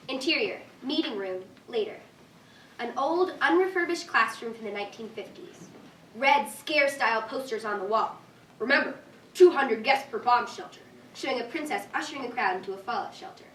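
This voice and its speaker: A woman's voice: on the high side, expressive, normal speed, a bit bright. A woman reading scripted stage directions as narrator at a live staged reading. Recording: distant echoey room, faint noise.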